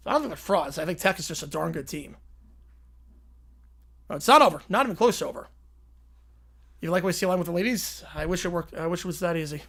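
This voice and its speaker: A man's voice: sits high, fairly bright tone. A man in his twenties from Long Island, casually calling a football game play by play on a livestream while chatting with viewers. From Long Island, New York. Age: 20s. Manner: chatty, answers viewer questions between plays. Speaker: livestream host and play-by-play commentator.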